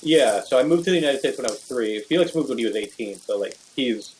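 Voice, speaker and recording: A young man, a bass player, chatting loosely in a podcast interview, filling his talk with "like" and "you know". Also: animated, fast talker, a little hiss or hum, rough recording. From Venezuela. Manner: rambling, full of "like" and "you know".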